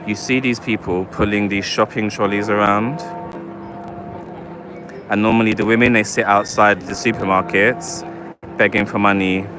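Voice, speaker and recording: Male streamer, lowish voice, chatty and wry, handheld mic on noisy night street. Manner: chatty, wry, talks to live chat. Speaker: livestream vlogger. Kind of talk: walking livestream commentary. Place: city streets at night, outdoors. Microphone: handheld phone or camera mic while walking. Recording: loud street noise behind. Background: traffic and street ambience.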